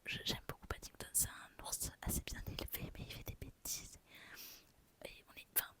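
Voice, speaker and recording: A young woman whispering softly into her phone, chatting through a haul of books and souvenirs with a gushing, filler-heavy manner. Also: normal speed. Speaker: vlogger.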